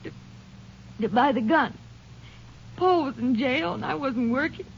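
An actress playing a distraught, pleading young pregnant wife in a 1950s radio drama. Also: female voice, sits high, measured pace, muffled recording. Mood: defensive anguish. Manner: pleading, tearful. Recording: old band-limited radio recording with hiss.

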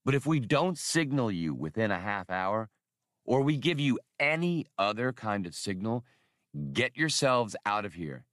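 Brash smuggler hero, played by a male audiobook reader: lowish voice, brisk orders, wisecracking edge, dry sound. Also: sing-song, fairly bright tone, no echo. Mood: commanding. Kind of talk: audiobook character dialogue.

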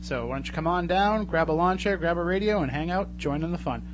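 A man's voice: fairly high pitch, a little fast. A man casually hosting a live radio talk show from a studio. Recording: clear hum and background noise.

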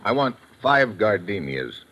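An actor playing a cocky, wisecracking American pilot with casual, slangy, tough talk in an old radio drama. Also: male voice, lowish voice, big pitch swings, measured pace, sharp tone, full character voice. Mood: smooth, wry. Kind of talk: old-time radio drama acting and first-person narration. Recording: vintage radio drama recording.

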